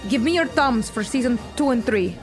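Give this one to an actress as a high-pitched female producer, grand and dangerous. She talks emphatically and profanely, every phrase made theatrical, as in an audio drama with music underneath. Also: animated, moderate pace, lightly acted. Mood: excited, demanding. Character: volatile, theatrical female TV producer, swearing and menacing. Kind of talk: audio fiction acting.

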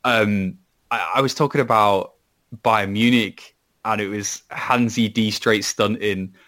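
Welsh guy chatting away, lowish voice, keeps restarting his sentences. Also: fairly even intonation, a little slow, a bit bright. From Wales. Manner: rambling, many restarts and laughs. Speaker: podcast co-host, guest. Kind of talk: casual chat.

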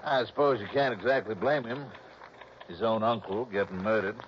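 A drawling small-town police captain, played by a man, unhurried and folksy with a lowish voice. The vintage broadcast sounds dull, with a faint hiss. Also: close to an everyday voice. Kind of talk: 1960s radio drama acting. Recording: dull old radio broadcast.

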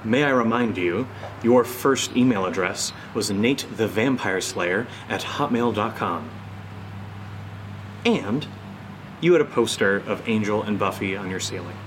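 A man playing an easygoing, slightly defensive friend in a naturalistic audio drama, with hesitant, deadpan delivery. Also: fairly low pitch, unhurried, background noise, close to an everyday voice. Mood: bantering. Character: easygoing, defensive man named Steve, bantering with his friend.

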